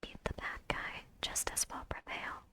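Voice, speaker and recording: A woman reads video game dialogue aloud in a soft, deliberate, breathy whisper, close to the microphone in ASMR style.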